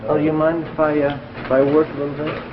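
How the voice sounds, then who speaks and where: A man's voice: a little high, monotone, fast, noisy background, lightly acted. An actor playing a reserved, practical male lab assistant in 1950s drama style, terse and hesitant. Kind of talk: 1950s anthology drama acting. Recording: muffled old broadcast under loud music.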